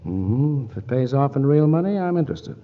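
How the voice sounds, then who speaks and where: A man's voice: mid-range voice, sing-song, fairly quick, natural voice. A man playing a wisecracking lawyer sleuth. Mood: wry. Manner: breezy, flirtatious quips. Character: wisecracking middle-aged male lawyer sleuth. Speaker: lead actor in radio play. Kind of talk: 1940s radio acting. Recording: muffled 1940s radio broadcast.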